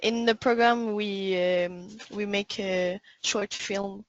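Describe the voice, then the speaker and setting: A woman's voice: mid-range voice, steady tone, takes it slowly. Hesitant French-speaking woman, muffled recording. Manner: hesitant, searching for words. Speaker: college student. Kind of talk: recorded student conversation.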